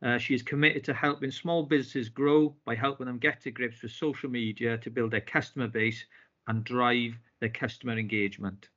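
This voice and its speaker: A man hosting an online business meeting, polite and filling pauses with "um" and "uh" as he restarts phrases. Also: mid-range voice, steady tone, fairly bright tone. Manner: polite, with frequent fillers and restarts. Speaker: business club host. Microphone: video call. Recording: dull lo-fi video call audio.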